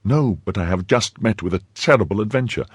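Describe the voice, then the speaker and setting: A man's voice: on the low side, expressive, a little fast, breathy edge, dry recording, studio quality. A man voicing an impetuous young swordsman in an audiobook, speaking with agitated, dramatic urgency. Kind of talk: audiobook character acting.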